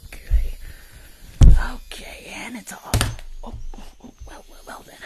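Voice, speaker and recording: A woman in her twenties doing hushed ASMR roleplay and livestream chat, murmuring repetitive little phrases close to the microphone. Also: unhurried. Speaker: ASMR creator and livestream host. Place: small indoor room at home. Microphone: close desktop microphone, earphones on.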